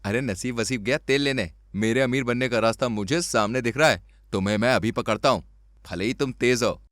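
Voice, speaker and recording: A man's voice: medium pitch, a little fast, a bit bright, no room sound, studio quality, lightly acted. A man playing a greedy, exasperated poor village husband in a cartoon story, sarcastic and grumbling. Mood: greedy, eager. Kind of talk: Hindi cartoon story dubbing.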